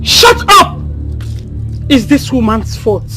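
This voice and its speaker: Actress as an outraged mother, high-pitched, sharp scolding, light music underneath. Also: sing-song, slow, exaggerated voice. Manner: scolding, shouting. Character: protective angry mother.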